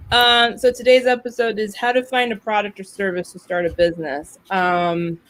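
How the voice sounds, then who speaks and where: A woman's voice: mid-range voice, moderate pace. Chatty woman podcast host, mid-range voice. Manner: talkative, animated storytelling with acted-out quotes. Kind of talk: casual chatty podcast conversation.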